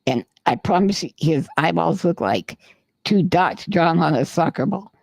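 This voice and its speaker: A man's voice: on the high side, expressive, a little slow, vocal fry, dry recording. A man co-hosting a podcast, telling a long rambling anecdote in casual chat and swearing freely. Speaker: podcast co-host. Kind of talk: casual storytelling.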